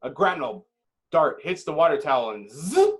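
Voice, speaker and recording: A man with a fairly high voice narrates an action screenplay. He reads the stage directions dramatically, as narrator for a table read. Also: big pitch swings, moderate pace.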